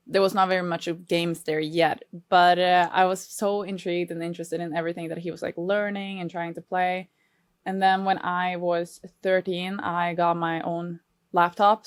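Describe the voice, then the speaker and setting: A woman's voice: fairly low pitch, no room sound, studio quality. A Norwegian woman in her twenties, a podcast guest, speaking English with a Norwegian accent in an earnest, reflective way. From Norway. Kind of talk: casual podcast interview.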